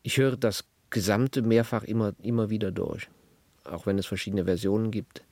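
A man who directs radio drama, giving reflective interview statements in deliberate, articulate, formal wording. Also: fairly low pitch, fairly even intonation, darkish tone, dry recording.

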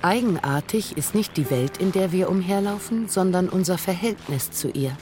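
A woman's voice: fairly low pitch, lively intonation, normal speed, noisy background, lightly acted. An actress playing a pensive, disillusioned woman in a literary radio drama monologue, reflective and insistent. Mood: pensive, resigned.

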